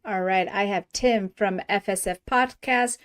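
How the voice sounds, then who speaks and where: A woman's voice: mid-range voice, unhurried. A woman hosting a podcast, chatting with her guest and reading out listener questions in a friendly way. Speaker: podcast host. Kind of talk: casual interview chat.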